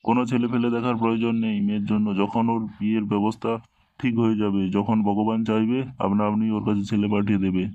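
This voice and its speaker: A man's voice: on the low side, little pitch movement, fast, dry recording, natural voice. A man voicing a domineering, scolding village tantric holy man in a Bengali comedy cartoon, with a preachy manner. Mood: preachy, commanding. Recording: dull lo-fi, very dry cartoon dub.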